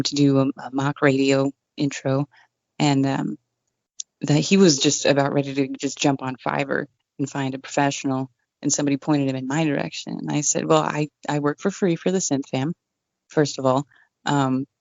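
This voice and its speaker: Woman, low voice, relaxed self-deprecating guest, dry muffled home mic sound. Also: normal speed, gravelly, no room sound. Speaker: guest, online radio DJ. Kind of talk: casual interview chat. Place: home room without acoustic treatment. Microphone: condenser desk mic with pop screen. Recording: dull, dry home recording.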